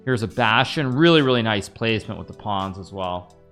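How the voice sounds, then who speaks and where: A man's voice: fairly low pitch, lively intonation, brisk, fairly bright tone, clear, resonant tone, faint music behind. An American man in his thirties, streaming a chess game, thinking aloud and answering chat questions in a casual, rambling way. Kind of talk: casual live-stream commentary.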